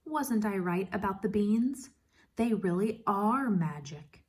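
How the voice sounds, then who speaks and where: A woman's voice: medium pitch, expressive, slow pace, pitched above the performer's own voice. A woman voicing a sly, plucky young storybook boy with an eager, innocent-sounding manner. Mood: proud.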